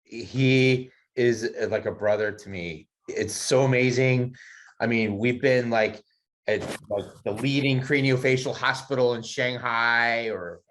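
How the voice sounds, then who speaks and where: A man's voice: medium pitch, fairly even intonation, a little slow, fairly bright tone. A man introducing a colleague on a video call, enthusiastic and full of fillers. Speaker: co-host introducing the guest. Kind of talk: warm introduction.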